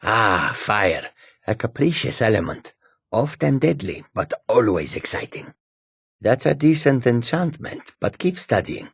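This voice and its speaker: A male actor playing a courteous, sly humanoid cat trader in a fantasy video game, with a gravelly, husky voice and measured, formal speech. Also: mid-range voice, very animated, dry recording, clean studio sound, exaggerated voice. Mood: thoughtful. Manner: measured, formal, refers to himself in third person.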